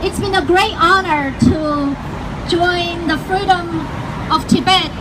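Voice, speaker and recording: Impassioned high-voiced woman at a street rally. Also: fairly even intonation, slow pace. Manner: impassioned, speaking out loud to a crowd. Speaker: protest speaker. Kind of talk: rally speech. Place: outdoor street protest by a consulate. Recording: loud traffic noise outdoors.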